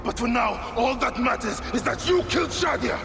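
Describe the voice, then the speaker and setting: Fierce, echoing vengeful warrior. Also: male voice, extremely high pitch, lively intonation, moderate pace, vocal fry, very noisy, exaggerated voice. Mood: vengeful fury. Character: vengeful Egyptian warrior, grim, fierce, intense. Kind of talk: dramatic game cutscene acting.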